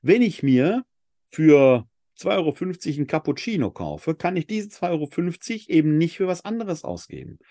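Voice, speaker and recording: A man from Essen giving a Bible lecture, reading scripture slowly and with weight before explaining it reflectively. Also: fairly high pitch, big pitch swings, warm tone, no echo, clean studio sound. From Germany (Essen). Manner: reads scripture passages slowly and with emphasis, then explains in a reflective tone. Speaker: Bible teacher.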